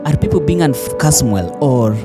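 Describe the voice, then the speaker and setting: A man's voice: mid-range voice, expressive, moderate pace. A man with a Kenyan accent reading scripted online news commentary as a voice-over. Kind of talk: scripted narration. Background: faint music.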